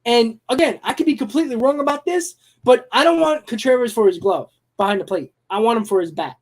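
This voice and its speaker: A man hosting a live-streamed sports talk show, hoarse from losing his voice, giving blunt, emphatic opinions. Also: very high voice, fairly quick.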